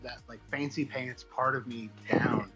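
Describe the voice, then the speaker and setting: A man answering interview questions in a casual, halting, self-deprecating way, thinking out loud as he rambles along. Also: mid-range voice, steady tone, soft background music, low-quality recording. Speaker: comic book writer being interviewed.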